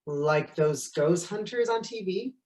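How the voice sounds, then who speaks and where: Cartoon client, 50s male, played by a man: gruff, indignant, jealous husband. Echoey room sound. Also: high voice, animated, measured pace, a little mellow. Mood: incredulous. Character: gruff, jealous fifty-year-old husband, a distraught client. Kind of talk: animated comedy script table read. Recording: roomy, echoing remote microphone.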